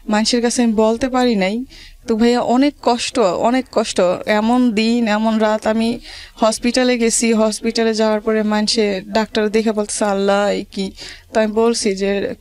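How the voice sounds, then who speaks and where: A woman with a colloquial regional Bangladeshi accent, telling her life story plaintively and haltingly, repeating phrases. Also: on the high side, darkish tone. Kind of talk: personal testimony in plain colloquial talk. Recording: faint music behind the voice.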